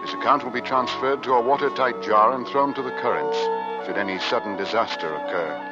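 An actor playing an earnest first mate who narrates an 1850s Arctic voyage, in old-time radio drama style. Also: male voice, mid-range voice, a little fast, natural voice. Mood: grave, reflective. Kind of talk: 1940s-50s radio drama acting and narration. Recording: muffled old radio recording under loud music.

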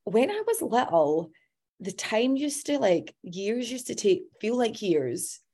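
A woman's voice: a little high, very animated, slow. A woman in her forties hosting a podcast, talking with open enthusiasm and affirming her guest in a casual interview. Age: 40s. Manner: warmly enthusiastic toward guest. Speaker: podcast host.

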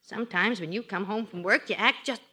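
A woman's voice: mid-range voice, brisk, sharp tone, a little hiss or hum, lightly acted. A woman playing an exasperated, teasing housewife in a classic radio situation comedy. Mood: scolding. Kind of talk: classic radio sitcom acting.

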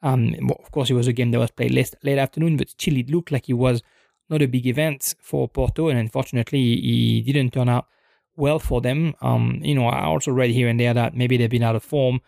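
A young man talks football in an unscripted podcast, pausing often with ums and restarts as he thinks aloud. His voice has a gravelly, creaky edge at a middle pitch, recorded dry and close with no room sound. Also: steady tone, darkish tone, dry recording. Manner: hesitant, many ums and restarts, thoughtful.

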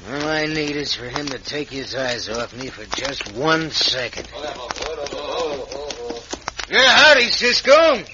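An actor playing a scheming crooked mine owner in a radio western, with a gruff, folksy American drawl. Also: male voice, on the high side, big pitch swings, slow, pauses often. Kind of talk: old-time radio western acting. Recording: muffled, noisy vintage radio recording.

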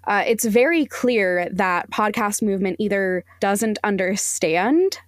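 A woman speaking as a podcast guest, giving opinions in an emphatic, deliberate way. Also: mid-range voice, no echo, clean studio sound. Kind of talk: opinionated discussion.

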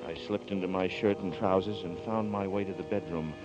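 Male actor as tired, guarded noir fugitive, low and halting; muffled vintage radio sound with music behind. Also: fairly even intonation, lightly acted. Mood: weary, reflective. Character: weary, guarded man on the run, feeling his way. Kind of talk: 1940s radio drama acting, noir narration. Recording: muffled 1940s radio recording.

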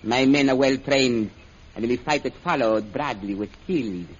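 Muffled old radio serial: male actor as calculating foreign prince, formal, measured, quietly menacing villain. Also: medium pitch, slight background noise, close to an everyday voice. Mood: smooth, explanatory. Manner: formal, measured, coolly imperious. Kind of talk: 1930s American radio serial acting.

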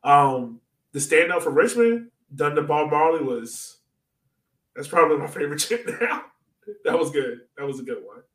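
A man hosting a sports podcast, chatting casually as a fan in an excitable, slangy, informal way. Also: fairly high pitch, normal speed. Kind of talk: casual fan chat about soccer. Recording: roomy echo, distant from mic.

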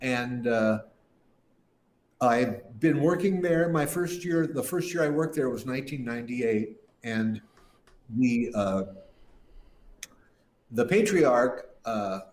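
Older man, interview guest, rambling anecdotes, restarts sentences, mid-pitched voice, roomy echo. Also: expressive, takes it slowly, pauses often, darkish tone, slight background noise. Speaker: guest musician. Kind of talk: casual interview storytelling.

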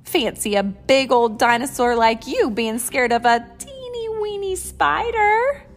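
A woman's voice: mid-range voice, sing-song, unhurried, a bit bright, heavily acted. A woman voicing a brave, swashbuckling young pirate captain in a children's story, bold and theatrical. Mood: teasing.

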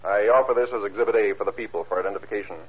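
An actor playing a formal, methodical prosecutor questioning witnesses in an old-time radio courtroom drama. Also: male voice, fairly low pitch, fairly even intonation, very fast, lightly acted. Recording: tinny, band-limited vintage radio recording.

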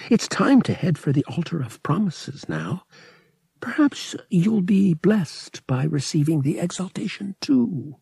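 A man voicing an earnest, devout young female pilgrim in an audiobook, reserved, wistful and softly spoken. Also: a little high, very animated, a little slow, vocal fry, husky, dry recording, pitched above the performer's own voice, heavily acted. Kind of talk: audiobook character acting.

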